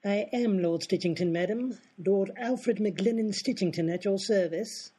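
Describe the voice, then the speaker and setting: A prim, haughty aristocratic lord, as a man plays him in a radio comedy. He speaks in a formal, stiff way with a husky edge. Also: high voice, a little slow, warm tone. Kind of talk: comic radio play acting.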